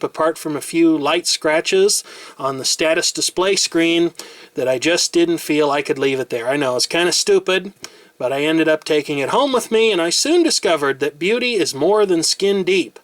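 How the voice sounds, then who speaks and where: Man, middle-aged, American, fairly high voice, dry close sound; precise, wordy radio reviewer. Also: normal speed, fairly bright tone, dry recording. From United States. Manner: wordy, precise, self-mocking asides. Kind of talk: product review narration. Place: desk in a home room.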